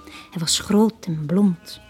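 A woman's voice: fairly low pitch, slow pace, a little mellow, husky, soft background music, exaggerated voice. An actress playing a wistful woman confiding her past in a radio drama, with a Flemish accent and an intimate, near-whispering delivery. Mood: sorrowful. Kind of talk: 1980s Dutch-language radio drama acting.

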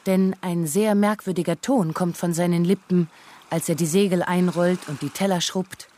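A woman's voice: on the low side, fairly even intonation, moderate pace, dark tone, a little hiss or hum, lightly acted. An actress playing an observant, melancholy woman who narrates what she watches, reflective, in a literary radio drama. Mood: observing.

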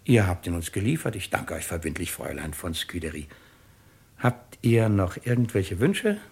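Imperious judge with sweeping, theatrical delivery. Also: male voice, low voice, sing-song, moderate pace, slightly rough, full character voice. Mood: triumphant, ironic. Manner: formal, theatrical, emphatic declaiming. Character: imperious, courtly, ironic president of the court. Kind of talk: 1950s German radio play acting.

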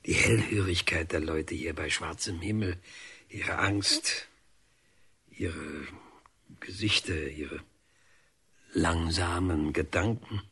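A brooding man observing village folk in a radio play. He muses slowly in fragments, with a gravelly, husky and fairly low voice. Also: bright, forceful tone, full character voice. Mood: musing, brooding. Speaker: actor. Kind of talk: German radio drama acting.

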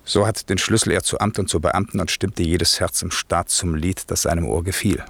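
A grave, commanding magician and father in a classic radio drama. He declaims solemnly in a deep voice, recorded close and very dry. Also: fairly even intonation, moderate pace, vocal fry, fairly bright tone, husky, no room sound, full character voice. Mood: grave, bitter. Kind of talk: classic German radio play acting.

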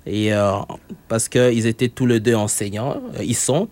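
A man's voice: lowish voice, fairly even intonation, moderate pace, a little mellow, no echo. Hesitant man, dry sound. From Congo. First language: Tetela. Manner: hesitant, many fillers, laughs often. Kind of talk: interview answers.

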